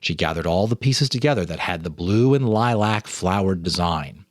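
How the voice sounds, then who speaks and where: Guy telling a spooky story, lowish voice, really animated, dry close sound. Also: moderate pace, no room sound. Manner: theatrical, suspenseful delivery. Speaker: storyteller, host. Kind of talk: dramatic reading of a ghost story.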